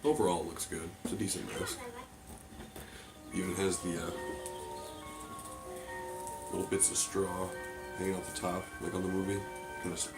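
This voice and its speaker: Stuffy-nosed man with a low voice. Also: moderate pace, pauses often, poor audio. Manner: offhand, rambling, many fillers. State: congested from a cold. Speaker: mask collector reviewing a mask. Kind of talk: casual unboxing chat. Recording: loud music playing behind the voice. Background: loud music from a movie playing.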